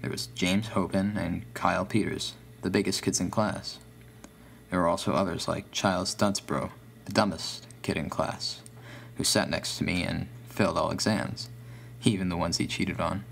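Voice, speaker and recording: A man narrates first-person horror in a low, creaky voice. His delivery is deliberate and ominous, with a faint hum underneath. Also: steady tone, fairly bright tone.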